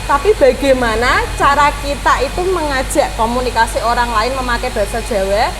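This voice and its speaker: A woman's voice: high voice, normal speed, noisy background. A woman, a language teacher explaining things patiently in a podcast interview, with frequent "eh" and "nah". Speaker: Javanese language teacher, guest.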